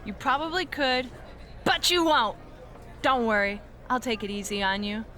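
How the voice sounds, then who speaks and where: A woman's voice: a little high, lively intonation, moderate pace, a faint crowd. An actress playing a boastful, bratty woman wrestling champion in a video game cutscene, smug and mocking. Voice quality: bright, taunting.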